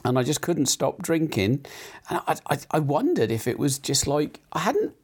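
A middle-aged man with a croaky throat, hosting a casual chat show, rambling with many fillers and restarts. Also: fairly high pitch, very animated, normal speed.

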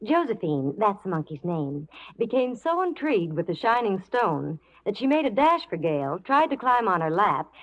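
A woman's voice: mid-range voice, big pitch swings, normal speed, few pauses. A woman presenting scripted 1940s radio advertising patter with a partner. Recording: muffled 1940s radio recording.

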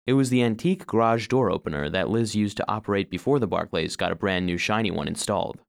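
Earnest, low-voiced robot storyteller. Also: male voice, quick pace, vocal fry. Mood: suspenseful. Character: earnest, sensitive vacuum-cleaner robot telling his story. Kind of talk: scripted first-person storybook narration for children.

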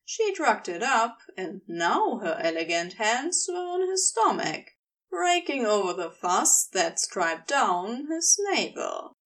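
Animated, high-voiced woman reading a story. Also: slow, distant mic, poor audio. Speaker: podfic reader, narrator. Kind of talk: expressive scripted story narration.

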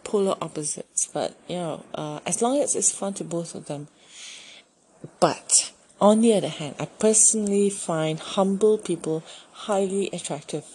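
A woman's voice: fairly low pitch, unhurried, dark tone, slight background noise, low-quality recording. A middle-aged woman with a Malaysian English accent, talking casually to camera in a chatty, self-deprecating way. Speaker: YouTuber.

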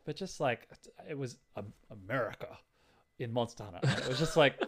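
A man with a medium-pitched voice chats casually as a podcast co-host. He talks about films in a loose, rambling way, working out his thoughts as he speaks. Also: animated, moderate pace. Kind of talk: casual film discussion.

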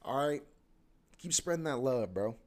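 Twenties male podcast host, loose and rambling, mid-pitched voice. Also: animated, unhurried. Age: 20s. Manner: laid-back, slangy, rambling with many fillers. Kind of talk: casual solo podcast monologue.

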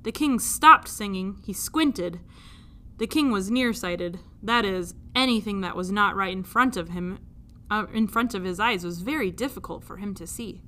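A woman reading a children's story aloud like a teacher, with expressive narration. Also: mid-range voice, moderate pace.

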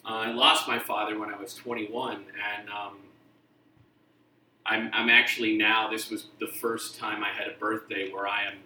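A man's voice: on the low side, level tone, moderate pace, sharp tone, distant mic, a little hiss or hum. A middle-aged man from Texas, his accent mostly faded, preaching a sermon as a guest pastor with a conversational, self-deprecating humor. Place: church sanctuary. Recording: roomy church sound from off-mic.

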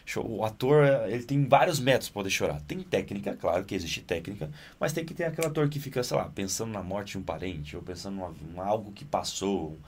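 Animated actor, low voice. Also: male voice, brisk, hardly any pauses, slightly rough. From Naples, Italy. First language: Italian. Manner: animated, rises in pitch when excited. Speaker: actor guest. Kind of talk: interview conversation.

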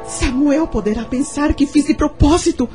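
A woman's voice: high voice, normal speed, over loud music, natural voice. A woman acting in a radio soap opera as a hard-working, anxious mother, speaking with hesitant emotion.

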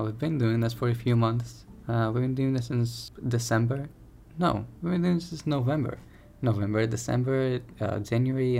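A young man speaks in a soothing, gravelly voice, set in a middle pitch. He rambles candidly and keeps correcting himself, in a casual podcast style recorded on a home streaming mic. A faint hiss sits under his voice. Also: mellow. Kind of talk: casual personal podcast monologue. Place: home room.

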